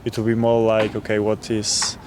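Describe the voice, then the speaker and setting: A man's voice: lowish voice, monotone, normal speed. A man from Poland with a Polish accent, answering a street interview as a tourist and talking hesitantly with many fillers. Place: city street outdoors. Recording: street noise with traffic.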